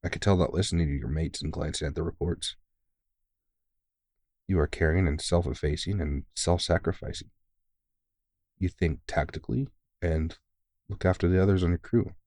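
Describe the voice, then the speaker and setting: Veteran vampire hunter played by a man for audio roleplay: very deep, close and dry, earnest, sweary, reassuring. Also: fairly even intonation, moderate pace, pauses often, a little mellow, no echo. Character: big, gruff but caring veteran male vampire hunter, mentoring. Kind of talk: intimate audio roleplay monologue.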